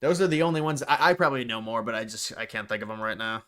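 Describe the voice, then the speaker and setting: A man's voice: mid-range voice, flat delivery, fast, fairly bright tone. A man hosting a live stream, commenting casually on clips and often stopping to restart and repeat words.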